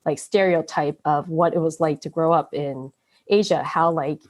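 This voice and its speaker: Interview guest: American woman, slightly low voice, informal and conversational, chatty filler-laden phrasing. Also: fairly even intonation, normal speed. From born in Taiwan, grew up in the United States from age seven. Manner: informal, frequent "like" and "you know".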